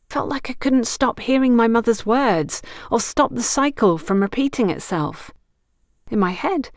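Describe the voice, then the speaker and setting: A woman's voice: a little high, a little mellow, no echo, clean studio sound, voice pushed up. A woman voicing a troubled, needy, impulsive young woman in audiobook dialogue, with an emphatic, dramatic delivery.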